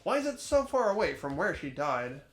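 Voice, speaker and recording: A performer voicing an earnest, uneasy teenage schoolboy who narrates an investigation in a visual novel game, hesitant and expressive. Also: medium pitch, moderate pace, faint music behind, close to an everyday voice. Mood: doubtful.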